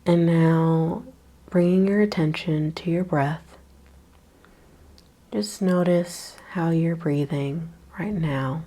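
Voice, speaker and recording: Slow, soft-spoken woman guiding meditation. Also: low voice. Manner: slow, soft-spoken, with long pauses and breathy trailing words. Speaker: yoga nidra teacher. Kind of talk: guided meditation.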